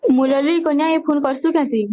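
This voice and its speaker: A woman's voice: sits high, fairly even intonation, a little fast. A young woman arguing accusingly in colloquial speech over a recorded phone call. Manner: accusatory, confrontational. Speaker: daughter in a family phone argument.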